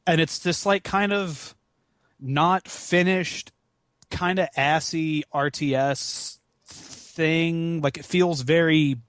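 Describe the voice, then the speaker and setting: A man chatting casually as a podcast guest, rambling and halting, with some swearing. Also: medium pitch, dry recording, clean studio sound. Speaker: podcast guest, charity gaming marathon organizer. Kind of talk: casual chat.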